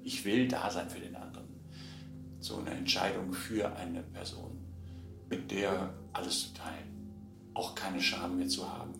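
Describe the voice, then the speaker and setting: A man's voice: deep voice, fairly even intonation, unhurried, vocal fry. A man speaking spontaneously and reflectively, searching for his words. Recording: roomy sound under loud guitar music.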